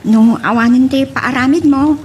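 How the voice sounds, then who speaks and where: A woman's voice: high-pitched, normal speed, darkish tone, close to an everyday voice. A woman with an Ilocano accent acting a concerned, gossipy household servant in a radio drama. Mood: bustling, concerned. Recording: clear background noise.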